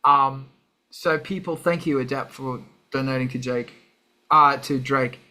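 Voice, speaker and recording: A man's voice: medium pitch, steady tone, a little slow, bright, forceful tone, low-quality recording. A man hosting a casual chat in a brash, sweary, self-mocking grumpy way. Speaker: host.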